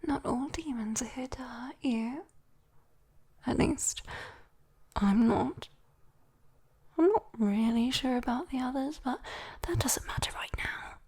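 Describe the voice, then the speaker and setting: Woman acting as a sweet possessive demon girl, British accent, very breathy whisper, slow, teasing, coaxing, ASMR roleplay. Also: sits high, a little mellow, full character voice. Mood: soothing, coy. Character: sweet, teasing, possessive young female demon comforting a human.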